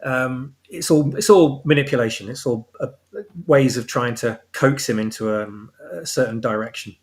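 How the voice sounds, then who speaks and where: A man's voice: mid-range voice, normal speed. Man thoughtfully explaining his own story. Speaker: guest author.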